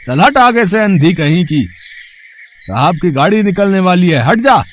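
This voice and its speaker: An actor playing a scornful gatekeeper in a moral story cartoon, brusque, hectoring and dismissive. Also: male voice, high voice, expressive, unhurried. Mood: scornful, bossy. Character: gruff, scornful male gatekeeper of rich man's house.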